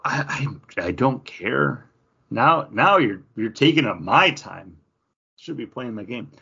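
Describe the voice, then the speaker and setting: Rambling man with a husky voice. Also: mid-range voice, takes it slowly, dull audio. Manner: rambling, animated, acts out tapping through menus. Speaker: podcast co-host. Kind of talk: casual chat.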